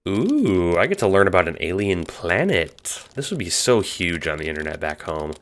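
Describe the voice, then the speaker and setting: A man acting in an audio drama as a casual, wry young hero and chatty narrator, with an expressive delivery. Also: low voice, normal speed. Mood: amused, friendly.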